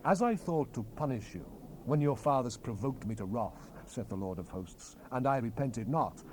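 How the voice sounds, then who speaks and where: A man's voice: mid-range voice, lively intonation, moderate pace, lightly acted, rough recording. A man playing a solemn, commanding Hebrew prophet in a dramatized Bible reading, with grave, declamatory, emphatic phrasing, on an old cassette rip. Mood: solemn, proclaiming. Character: solemn, commanding male Hebrew prophet relaying God's word. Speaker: scripture narrator. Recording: hissy unprocessed cassette tape rip.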